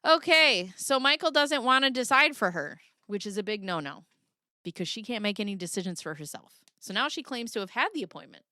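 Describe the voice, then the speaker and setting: A woman's voice: medium pitch, expressive, brisk, bright, forceful tone, dry recording. A young woman with a Midwestern accent hosting a casual livestream, reading her chat aloud, laughing often and swearing freely. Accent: Midwestern American. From American Midwest.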